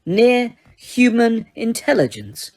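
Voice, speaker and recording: A woman voicing a proud, dignified male centaur who speaks slowly and with disdain. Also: mid-range voice, sing-song, no room sound. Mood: disdainful. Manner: slow, measured, disdainful.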